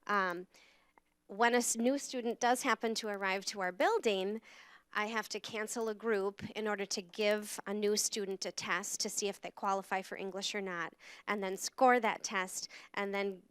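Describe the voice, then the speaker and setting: A woman's voice: medium pitch, steady tone, normal speed, a bit bright, smooth, dry recording. A woman who teaches English learners, explaining her workload earnestly at a meeting. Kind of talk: answering a question at a meeting.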